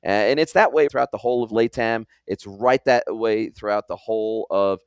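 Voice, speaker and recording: A man's voice: lowish voice, normal speed, darkish tone, smooth, no echo, clean studio sound. A man with a mixed American and New Zealand accent, hosting a podcast interview in an effusive, talkative, conversational way. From California, United States, later long in New Zealand. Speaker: podcast host.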